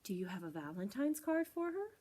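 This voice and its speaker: A woman's voice: mid-range voice, sing-song, brisk, warm tone. A woman voicing a friendly, forthright female skunk in a children's storybook, curious and coaxing. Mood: frank, breezy. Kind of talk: children's storybook read-aloud.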